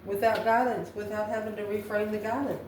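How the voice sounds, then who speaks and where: A woman's voice: medium pitch, steady tone, a little fast, a little mellow. Echoey, distant woman reading tarot. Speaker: tarot card reader, channel host. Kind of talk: improvised spiritual tarot reading, talking to viewers. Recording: echoey room, distant mic, faint noise.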